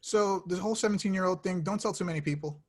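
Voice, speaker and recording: A man playing a defensive, awkward young slacker in a table read, speaking casually and deadpan. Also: sits high, quick pace. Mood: sheepish.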